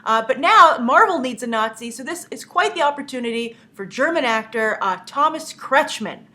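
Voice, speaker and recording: Young female movie news host, congested from a cold, tense bright tone, a little high, loose and chatty with frequent fillers. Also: animated, normal speed. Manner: chatty, many "uh" fillers, opinionated. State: stuffy from a cold. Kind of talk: casual news commentary.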